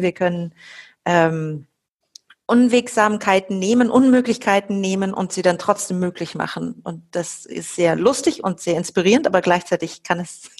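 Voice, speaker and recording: A woman's voice: mid-range voice, a little mellow. A woman logistician talking through her fieldwork in a podcast conversation, explaining with many fillers and restarts.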